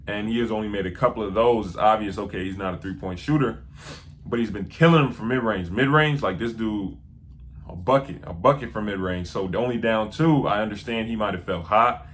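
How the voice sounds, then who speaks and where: Young male host, casual and rambling, a touch low-pitched, faint room hum behind. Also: a bit bright. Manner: rambling, many fillers and restarts. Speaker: basketball film analyst host. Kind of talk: casual talk to camera. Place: small indoor room.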